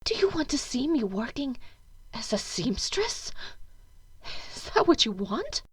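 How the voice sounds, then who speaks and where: A woman's voice: high voice, big pitch swings, unhurried, husky, no room sound, exaggerated voice. A woman playing a stern, anxious upper-class mother in an audio drama, imperious and pleading. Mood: tearful guilt-tripping. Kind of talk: fan audio drama acting.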